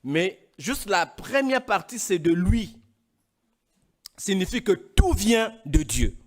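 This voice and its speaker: A man preaching a sermon, from Congo, emphatic and rising into louder, higher passages. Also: sing-song, takes it slowly.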